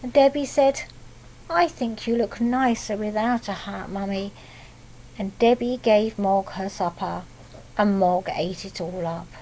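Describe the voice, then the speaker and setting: A woman's voice: mid-range voice, a little slow, darkish tone, slight background noise, rough recording. A British woman reading a picture book aloud like a primary teacher, with simple child-directed asides and little tag questions. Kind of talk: children's storytelling with explanatory asides.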